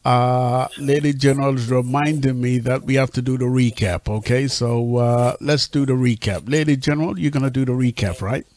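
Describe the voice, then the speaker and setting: A man's voice: medium pitch, fairly even intonation, moderate pace, hardly any pauses, darkish tone, slight background noise. Male host for a panel discussion, courteous, steering each speaker in turn. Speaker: talk show host.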